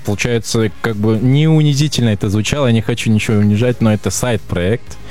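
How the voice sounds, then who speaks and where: Low-voiced hesitant young man. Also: quick pace, a little mellow, soft background music. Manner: rambling, hesitant with fillers. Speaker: guest musician. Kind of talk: casual interview chat.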